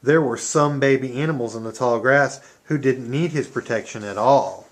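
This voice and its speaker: A children's storyteller narrating a puppet show. The voice sits at a middle pitch and tells the tale in an expressive storybook style. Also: moderate pace.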